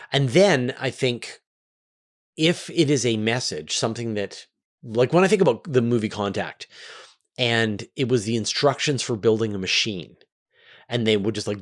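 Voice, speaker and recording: A man hosting a question-and-answer show, explaining things conversationally in an animated way with rhetorical questions. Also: on the low side. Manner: animated, rhetorical questions, acts out imagined quotes. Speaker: host of a space Q&A show.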